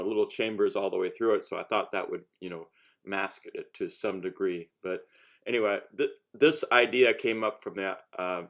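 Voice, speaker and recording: A man giving a casual how-to talk as a hobbyist showing homemade gear, rambling and hesitant, often breaking off to restart. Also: fairly low pitch. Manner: rambling, hesitant, self-interrupting.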